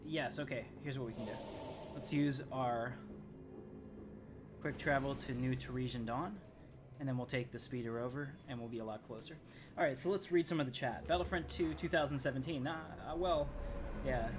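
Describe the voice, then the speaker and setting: A man hosting a game livestream, chatting casually to viewers and rambling as he thinks aloud while playing. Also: mid-range voice. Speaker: livestream gamer host. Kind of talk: casual live gameplay commentary, chatting to viewers. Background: game music.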